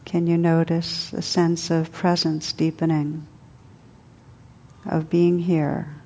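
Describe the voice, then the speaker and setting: A woman leading a guided meditation as a teacher, soothing and unhurried, speaking in short phrases with long pauses. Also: low-pitched, flat delivery, darkish tone. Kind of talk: guided meditation, spoken to a group.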